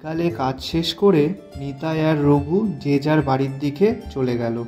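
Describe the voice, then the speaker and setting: A man's voice: a little high, fairly even intonation, moderate pace, over music. A man reading scripted narration for a cartoon story.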